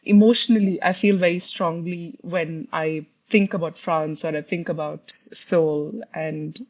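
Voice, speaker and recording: A woman's voice: on the low side, steady tone, a little slow, a little mellow. A woman from India, co-hosting a podcast in a musing, casual chat and laughing often. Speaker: podcast co-host. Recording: slightly dull audio.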